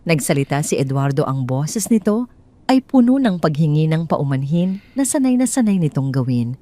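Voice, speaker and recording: A woman's voice: on the low side, warm tone, no room sound. A woman with a Filipino accent narrating a scripted dramatic audio story as a storyteller.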